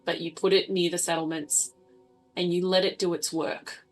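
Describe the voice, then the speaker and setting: A woman's voice: fairly low pitch, flat delivery, normal speed, soft background music, lightly acted, poor audio. A woman playing a blunt, commanding female wasteland survivor in a tabletop game, giving firm orders. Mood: grimly vengeful. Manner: firm, imperative. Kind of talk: tabletop roleplaying character voice.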